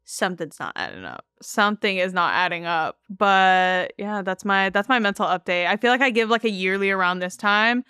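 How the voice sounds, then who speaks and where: A woman's voice: mid-range voice, expressive, normal speed, hardly any pauses, bright, forceful tone, clear, resonant tone, no room sound, studio quality. A woman in her twenties co-hosting a casual podcast, talking candidly with slang and swearing. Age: 20s. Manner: candid, slangy, heavy cursing. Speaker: podcast co-host.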